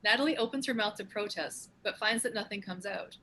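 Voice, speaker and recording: Bright-voiced woman narrating a script. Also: medium pitch, brisk. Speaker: narrator reading stage directions.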